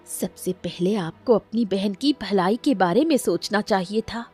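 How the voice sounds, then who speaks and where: A woman's voice: medium pitch, measured pace, mellow, husky, soft background music. A woman voicing a tearful, reproachful young sister in a melodramatic cartoon story, plaintive and accusing. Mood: angry reproach.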